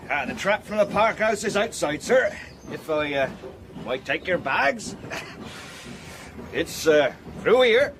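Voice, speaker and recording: Radio drama porter: high, slow, deferential and halting, faint outdoor noise behind. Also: male voice, expressive. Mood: eager, deferential. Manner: hesitant, deferential. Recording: noisy outdoor scene with horse and vehicle.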